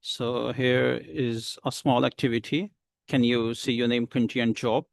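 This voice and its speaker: A man teaching a beginner lesson, explaining slowly and patiently with many pauses. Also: medium pitch, steady tone, gravelly, no echo, studio quality. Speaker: English teacher.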